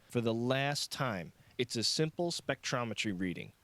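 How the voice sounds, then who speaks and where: A man's voice: medium pitch, animated, normal speed, fairly bright tone, lightly acted. A man voicing an arrogant, sarcastic middle-aged male scientist in audiobook dialogue, condescending and curt. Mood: irritated, snide.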